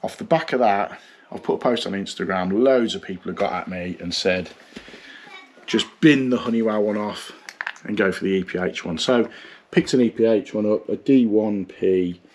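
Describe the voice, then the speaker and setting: Tradesman, forties, low voice, blunt and fed up, narrating his own job on camera with faint background noise. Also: normal speed. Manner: frank, swearing, stressed and rambling. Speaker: plumber vlogger. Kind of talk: casual vlog with job commentary. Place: parked van, then a small bathroom.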